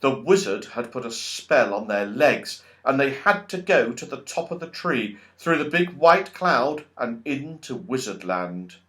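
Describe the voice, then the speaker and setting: Male children's audiobook narrator, mid-pitched, very animated, leisurely pacing. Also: unhurried, hardly any pauses. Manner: expressive, dramatic storytelling. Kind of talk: scripted children's story narration.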